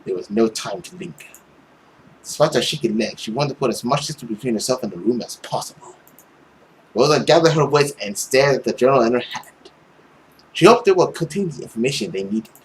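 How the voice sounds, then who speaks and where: A man's voice: mid-range voice, expressive, fairly quick, slight background noise, poor audio. A young man doing a theatrical, suspenseful read-aloud of a visual novel as a let's play host, at home. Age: young adult. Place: indoor room at home. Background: game music.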